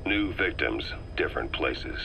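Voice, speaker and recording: Game role: cynical military intelligence man, very low, sharp-edged, clipped, faint noise behind. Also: lively intonation, unhurried, gravelly, full character voice. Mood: grim. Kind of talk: video game dramatic dialogue.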